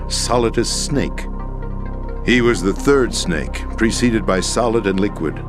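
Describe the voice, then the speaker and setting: An actor playing a weary, formal deposed president and schemer in a video game, explaining things in a measured, deliberate way. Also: male voice, fairly low pitch, close to an everyday voice. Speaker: video game character in a cutscene. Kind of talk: dramatic expository video game acting.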